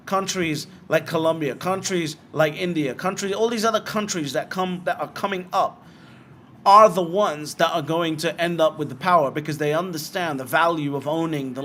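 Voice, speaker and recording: A British man hosting a live show and answering viewer comments in a frank, blunt way, firing off quick rhetorical questions. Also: on the high side, a bit bright, slight background noise. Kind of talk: casual livestream commentary.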